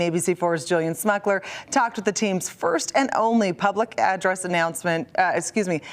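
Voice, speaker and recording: A woman anchoring TV news, reading a scripted introduction to a report. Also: mid-range voice.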